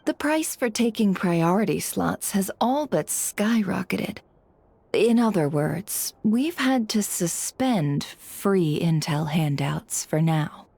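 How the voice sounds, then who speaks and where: A woman's voice: medium pitch, lively intonation, takes it slowly, gravelly, darkish tone, slight background noise. A woman playing a shrewd, coolly polite intelligence broker in a video game, composed and coy. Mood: grave, businesslike. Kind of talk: video game character dubbing.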